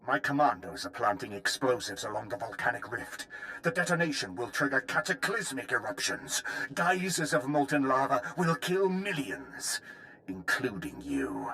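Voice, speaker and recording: An actor playing a crazed, smug Imperial cult leader in a video game, taunting and grandiose. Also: male voice, mid-range voice, sing-song, normal speed, pushed and bright, a little hiss or hum. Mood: smug menace. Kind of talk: dramatic video game voice acting.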